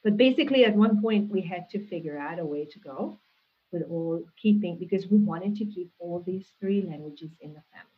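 A woman, a guest answering interview questions in a thoughtful, measured way with frequent restarts, heard over a video call. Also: fairly low pitch, husky. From Hungary. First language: Hungarian. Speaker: guest, linguistics professor. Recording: tinny phone-like call audio.

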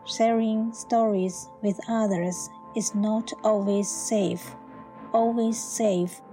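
An actress playing a wistful migrant woman reciting measured, repeating verse in a radio play, over music. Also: mid-range voice, mellow. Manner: measured, echoing phrases. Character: wistful migrant woman poet remembering her village. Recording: music behind the voice.

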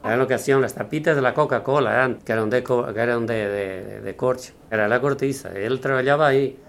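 A Portuguese-born man whose Spanish carries a Portuguese accent, recalling the past in a halting interview with many restarts. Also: mid-range voice, gravelly. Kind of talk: reminiscing interview.

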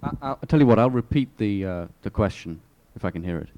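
A man's voice: lowish voice, expressive, fast, dull audio. A man moderating a public literary event, opening the floor to audience questions and raising his voice so the whole room can hear him. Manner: raises voice to address the room. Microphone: hands microphone to audience.

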